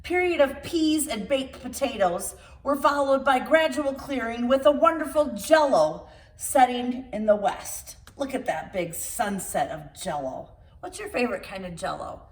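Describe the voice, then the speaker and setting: A woman reading a picture book aloud to children in an expressive storytime style, with playful asides to her young listeners. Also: fairly high pitch, unhurried. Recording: roomy echo, distant microphone.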